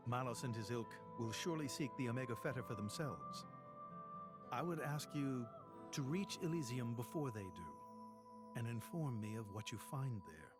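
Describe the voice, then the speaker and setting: Game role: a man plays courteous, solemn aged leader, mid-pitched, stately delivery over prominent music. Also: lightly acted. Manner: formal, measured, dignified. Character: solemn old male ruler, courteous and grave. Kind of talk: dramatic game cutscene acting. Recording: orchestral music behind the voice.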